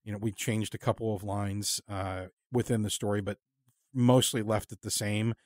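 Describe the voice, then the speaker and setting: A man hosting a casual interview, speaking in an earnest, rambling and halting way. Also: fairly low pitch, level tone, dry recording. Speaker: podcast host and interviewer.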